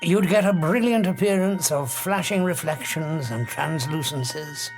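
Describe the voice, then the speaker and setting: An actor playing an obsessive, grandiose scientist in an audiobook drama, arrogant and emphatic, lecturing with dramatic pauses. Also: male voice, on the high side, music in the background. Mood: intense explaining.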